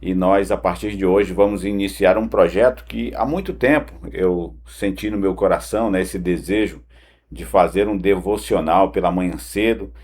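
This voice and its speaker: Low husky man, morning devotional host. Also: moderate pace, darkish tone. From Pará, northern Brazil. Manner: earnest, direct address with frequent "né" tags. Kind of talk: conversational morning devotional talk. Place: home studio.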